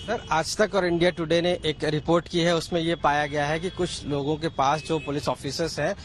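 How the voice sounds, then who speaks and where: Male TV reporter, raised pitch, insistent long-winded interviewing, faint vehicle noise outdoors. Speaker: TV news field reporter. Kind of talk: news interview questioning.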